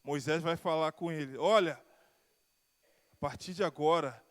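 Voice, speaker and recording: A man's voice: fairly high pitch, a little slow, a bit bright, big-room sound. A pastor from Rio de Janeiro with a Carioca accent, preaching and telling his own testimony, his voice swelling and falling and drawing out key words. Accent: Carioca Brazilian Portuguese. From Rio de Janeiro, Brazil. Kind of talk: sermon with personal testimony. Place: church sanctuary.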